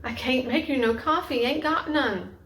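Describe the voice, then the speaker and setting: A woman's voice: high voice, normal speed, a bit bright, pitched above the performer's own voice. Woman voicing a weary, rustic young mother. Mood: weary, defensive. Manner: plain, rustic dialect. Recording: echoey room, faint noise.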